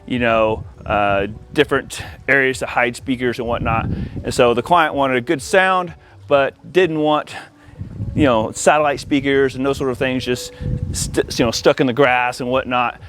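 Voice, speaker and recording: A man's voice: mid-range voice, fairly even intonation, normal speed, fairly bright tone, a little hiss or hum. A man giving an informal, conversational product showcase outdoors in a backyard, addressing viewers casually as an installer. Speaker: audio-video installer presenting for company channel. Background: music.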